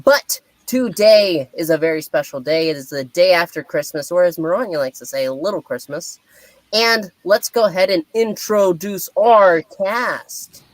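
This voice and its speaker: Excitable lady hosting a casual stream, lowish voice, kinda rambly, dry close sound. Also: normal speed, dry recording, poor audio. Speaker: host of a puppet show. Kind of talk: chatty live-stream introduction.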